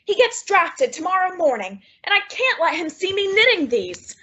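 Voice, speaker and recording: Scatterbrained sitcom housewife played by a woman, bright high voice, exclamatory, old radio comedy style. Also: normal speed, lo-fi sound, full character voice. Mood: coy, cheerful. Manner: animated, exclamatory. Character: young housewife, flustered, secretive, affectionate and scatterbrained. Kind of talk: 1940s radio sitcom acting.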